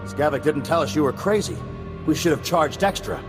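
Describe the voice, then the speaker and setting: An actor playing a gruff hired mercenary sergeant in a video game. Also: male voice, on the high side, expressive, a little fast, natural voice. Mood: scornful. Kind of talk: video game cutscene acting. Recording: long reverb under music.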